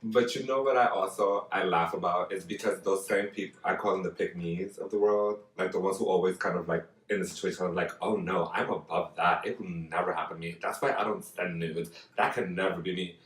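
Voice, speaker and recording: A man hosting a podcast, chatting casually in an animated, gossipy way and cursing freely. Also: low-pitched, fairly quick, hardly any pauses, reverberant, recorded from a distance, rough recording. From Dominican family, learned Spanish first. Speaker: podcast host. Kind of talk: casual chat.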